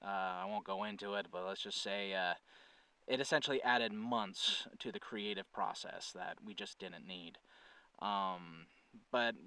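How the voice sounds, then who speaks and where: A man's voice: medium pitch, a bit bright, smooth, dry recording, distant mic, poor audio. A man speaking as a channel host in a casual, earnest update to viewers, thinking aloud and correcting himself as he goes. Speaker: channel creator and host, writer-producer.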